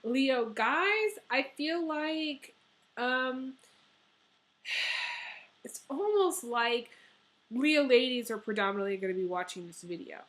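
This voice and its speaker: A young woman with a hoarse, fading voice, reading tarot in a casual, rambling way with many pauses and ums. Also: high voice, lively intonation, fairly bright tone. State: hoarse, losing her voice. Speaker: tarot reader.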